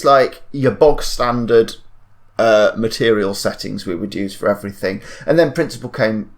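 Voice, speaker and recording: A man narrating a software tutorial in a casual, informal way, thinking aloud as he demonstrates. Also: medium pitch. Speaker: tutorial presenter. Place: indoors at a computer, screen-recording voice-over.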